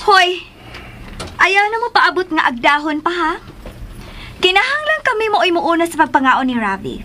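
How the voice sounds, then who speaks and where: Bossy snappy radio drama wife, super high voice, cebuano accent, swoops around, bit of noise behind. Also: female voice, very animated, moderate pace, sharp tone, heavily acted. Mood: bossy, haughty. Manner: imperious, scolding, snapping. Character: haughty, hot-tempered, domineering wife bossing the household.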